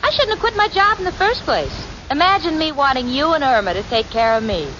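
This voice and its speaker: A sardonic, sensible young woman speaks in a high, swooping voice with long-suffering patience. It is 1940s radio comedy on a muffled, noisy recording. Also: expressive, a little fast, bright, forceful tone. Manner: wry, exasperated, crisply articulate. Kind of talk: 1940s radio sitcom acting and narration.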